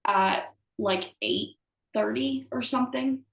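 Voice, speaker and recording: A woman's voice: a little high, takes it slowly, gravelly. A woman in her early twenties with an American accent chats casually as a podcast co-host, talking with a close friend. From United States. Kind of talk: casual chat between friends. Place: remote call from an echoey indoor room. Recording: roomy, echoey video call audio.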